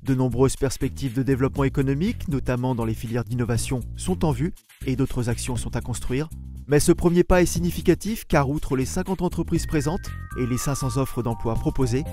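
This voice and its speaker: A man's voice: medium pitch, brisk, warm tone, faint music behind. A man reading scripted narration as the voice-over of a local news report.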